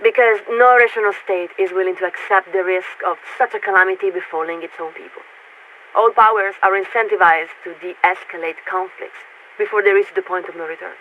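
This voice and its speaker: An actress playing a formal, detached warship officer who speaks for a committee in clipped, measured phrases through a radio filter in a video game. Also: female voice, medium pitch, fairly even intonation. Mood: measured, lecturing. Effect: radio transmission filter. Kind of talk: scripted video game voice acting. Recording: tinny, narrow-band radio with static.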